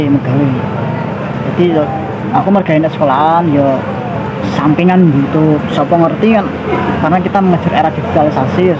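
Young guy, rambling cafe vlog, slightly high voice, dull phone sound, loud traffic behind. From Indonesia. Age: young adult. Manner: loose, rambling, mixes in local slang. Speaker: vlogger. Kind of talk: casual vlog chat. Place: outdoor cafe terrace with bamboo blinds. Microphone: handheld phone camera held close. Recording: muffled phone audio, loud outdoor traffic.

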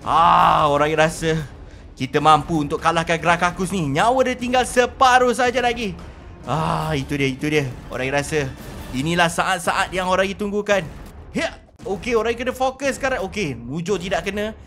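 A man with a Malaysian accent hosting a gaming video, giving excited, theatrical and repetitive role-play commentary on his play. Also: sits high, normal speed, faint music behind.

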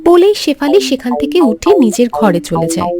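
A woman's voice: a little high, very animated, fairly quick, vocal fry, loud music behind the voice. A woman giving scripted narration for a cartoon story.

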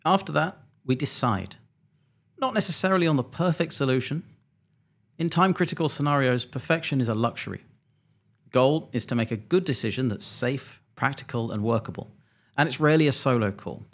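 Guy with a creaky voice doing a pilot explainer, measured and a bit dry, talking close on a desk mic. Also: mid-range voice, animated, a bit bright. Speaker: airline pilot and presenter. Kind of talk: scripted explainer narration to camera. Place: small home studio indoors. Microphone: close desk microphone.

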